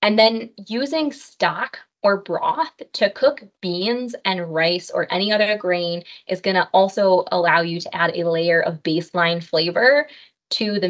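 A woman dietitian teaching an online cooking class, speaking in a friendly, practical way with frequent "um" fillers. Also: lowish voice. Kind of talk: online health class with slides. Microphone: webcam on a video call. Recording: slightly dull video-call audio.

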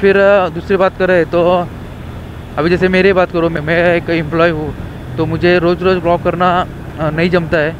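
A man's voice: high-pitched, flat delivery, normal speed. A young man, chatting casually and rambling as he rides, mixing in English, recorded on a helmet camera. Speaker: motovlogger. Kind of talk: casual chat while riding. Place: on a motorbike on city roads. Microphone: helmet action camera. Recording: dull lo-fi camera audio with faint traffic noise.

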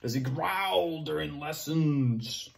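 A young man teaching young children, playful and animated, cheering them on and making sound effects while he reads a picture book aloud in a classroom. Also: fairly high pitch, slow, gravelly, slight background noise. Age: young adult. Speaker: preschool teacher. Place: classroom with word cards on a board.

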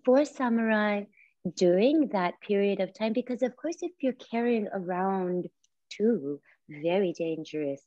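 A woman in her forties whose first language is Japanese, speaking as a visual artist on a video call, soft and reflective with many pauses and restarts. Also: mid-range voice, expressive, no room sound. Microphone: laptop or phone camera on video call. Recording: muffled lo-fi video call audio.

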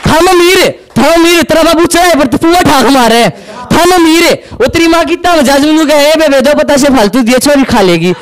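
Young guy, haryanvi accent, really high pitched, stand-up bit on stage, acts out both sides of a chat. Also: a crowd in the background, poor audio. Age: young adult. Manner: acts out dialogue in quick back-and-forth. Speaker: stand-up comedian. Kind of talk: stand-up storytelling. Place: indoor stage with curtain backdrop. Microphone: handheld mic.

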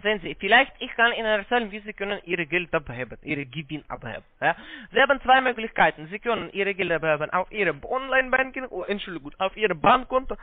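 A man with a foreign accent giving a halting, repetitive, polite phone sales pitch in broken, non-native German. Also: expressive, dry recording. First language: not German. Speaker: call center investment salesman on a scam call. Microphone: phone call. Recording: narrow-band phone line sound.

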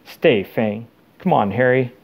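A man voicing a huge, loyal, hot-tempered groundskeeper in an audiobook, speaking in a blunt, rustic way with rough grammar. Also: medium pitch, animated, slow, exaggerated voice. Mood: grudging.

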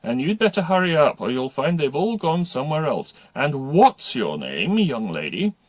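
Man doing a sarcastic games teacher, sharply animated. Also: fairly high pitch, moderate pace, no room sound, pitched above the performer's own voice. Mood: stern. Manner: sharp, dry, condescending. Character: stern, sarcastic bearded male games teacher.

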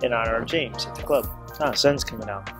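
A man's voice: mid-range voice, fairly quick, faint music behind. A man in his thirties chatting casually to camera aboard a sailboat, with a playful habit of repeating words. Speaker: sailing vlogger. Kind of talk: casual first-person vlog chat to camera. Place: on a sailboat, in the galley and on deck.

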